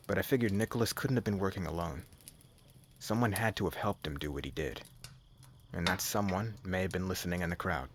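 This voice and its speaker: Man acting a deep, gravelly gang leader lover. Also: a bit bright, slight background noise. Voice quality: low, gravelly. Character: tsundere male gang leader, tough yet tender lover. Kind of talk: ASMR roleplay, intimate acted monologue.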